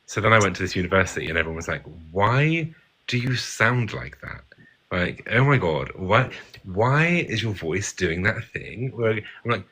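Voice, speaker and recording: Posh-sounding English man, very deep. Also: normal speed, husky. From England. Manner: animated, self-mocking. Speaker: guest. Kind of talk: casual live stream chat.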